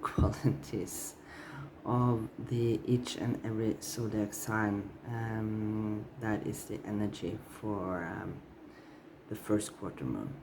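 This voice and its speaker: A man giving a tarot reading with a scratchy, strained voice, hesitant and full of fillers and restarts. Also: lowish voice. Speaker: tarot reader.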